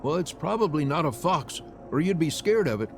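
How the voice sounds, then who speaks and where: A man voicing an anxious, excitable young boy with urgent, pleading delivery in a visual novel reading. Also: on the high side, a little fast, faint music behind. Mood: casual, curious.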